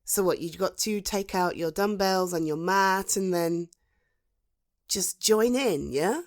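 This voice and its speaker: A woman plays a supportive British female friend in an intimate ASMR audio roleplay. Her voice sits at a medium pitch. She talks soothingly and encouragingly, protective of the listener, leaving thoughtful pauses as in a real one-to-one chat. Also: close to an everyday voice. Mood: concerned, reassuring. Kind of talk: ASMR roleplay, conversational one-sided dialogue.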